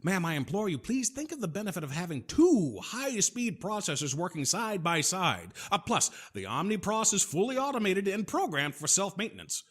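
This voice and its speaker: A man playing a smooth-talking, nervously polite machinery salesman in an audio drama, flattering and a little hesitant. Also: sits high, moderate pace, few pauses, heavily acted. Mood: pleading politely.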